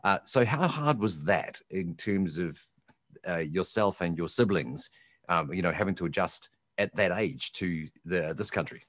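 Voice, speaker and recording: A man's voice: low voice, expressive, a little slow, a bit bright, no room sound, studio quality. A man raised in New Zealand interviewing a guest, conversational and probing, adding his own asides.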